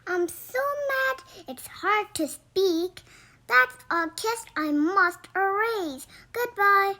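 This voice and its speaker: A female reader telling children's verse in an animated, expressive, sing-song storytelling style. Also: very high-pitched, takes it slowly. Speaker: children's book narrator.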